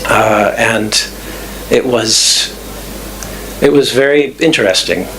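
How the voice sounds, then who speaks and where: Measured low-voiced man answering questions. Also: pushed and bright, a little hiss or hum, low-quality recording. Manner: thoughtful, measured, with frequent fillers and restarts. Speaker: guest speaker. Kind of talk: lecture Q&A answers. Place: club event room.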